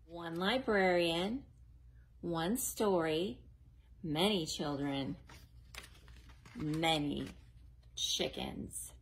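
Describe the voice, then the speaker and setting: A woman in her forties reading a picture book aloud to young children in a slow, exaggerated, sing-song way, recorded on a close webcam at home. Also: deep voice, slight background noise, rough recording. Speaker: children's librarian. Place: living room at home, then a library.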